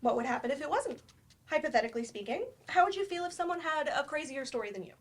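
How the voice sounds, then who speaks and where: A woman's voice: high-pitched, animated, fast. A woman in a staged play reading, playing a firm, probing therapist with a direct, dry manner. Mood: probing, coaxing. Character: blunt, probing female therapist, patient but firm.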